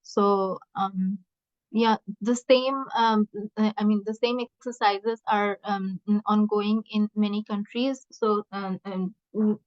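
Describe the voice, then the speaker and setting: A woman researcher answering questions in an online meeting, speaking haltingly with many hesitant pauses. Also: mid-range voice, steady tone, no echo. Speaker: researcher presenting a project. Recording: muffled lo-fi online call audio.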